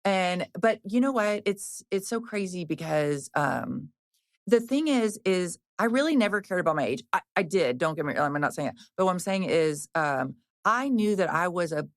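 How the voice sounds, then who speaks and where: A woman's voice: fairly low pitch, animated, moderate pace, no room sound, clean studio sound. A woman in her fifties, a personal trainer, talking casually and frankly with many restarts and the odd swear. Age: 50s. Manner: chatty, rambling, frequent restarts and fillers. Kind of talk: casual podcast conversation.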